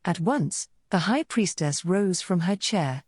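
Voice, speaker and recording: Mellow, lowish female story narrator. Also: lively intonation, unhurried, husky, no echo, clean studio sound. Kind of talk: scripted dramatic storytelling narration.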